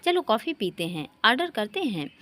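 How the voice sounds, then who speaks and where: A spirited married woman, acted out by a woman narrator in a romantic audio story. Her voice is fairly high and animated in pitch, brisk and familiar yet guarded. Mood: hurried. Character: spirited married housewife, guarded with an old friend.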